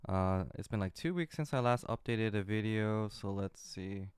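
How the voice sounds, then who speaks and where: A man giving an off-the-cuff screen-share commentary as an amateur investor, talking in a halting, rambling way. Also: on the low side, clean tone, no room sound, studio quality. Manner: halting, rambling, hesitant delivery. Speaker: retail investor recording a portfolio update.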